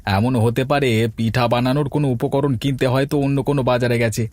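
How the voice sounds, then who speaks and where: A man's voice: mid-range voice, steady tone, fairly quick, darkish tone, no room sound, close to an everyday voice. A man voicing an easygoing village character in a cartoon fairy tale, talking in a colloquial, chatty way. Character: village men: elder headman, easygoing bachelor neighbour. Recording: music under the voice.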